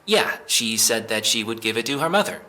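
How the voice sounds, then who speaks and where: Bright-voiced, hesitant young man under questioning acted by a man. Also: mid-range voice, expressive. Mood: nervous explaining. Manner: hesitant, halting, defensive. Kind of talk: naturalistic audio drama acting.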